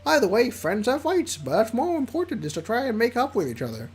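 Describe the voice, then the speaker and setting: A streamer doing Liam, a squeaky upbeat friend. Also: sing-song, fairly quick, slightly rough, soft background music, full character voice. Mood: encouraging. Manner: upbeat, reassuring, chatty advice. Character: cheerful supportive young male friend.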